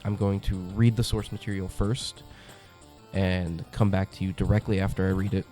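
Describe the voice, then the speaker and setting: A man's voice: sits low, level tone, normal speed, dark tone, soft background music. A young man hosting a comic review channel, congested from a cold, talking in a chatty, enthusiastic way with lots of ums and uhs. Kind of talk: casual review monologue.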